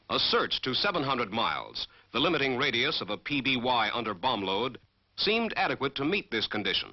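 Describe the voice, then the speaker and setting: A man with an American accent giving formal, measured, scripted military narration as the narrator of a training film. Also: mid-range voice. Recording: dull 1940s film soundtrack.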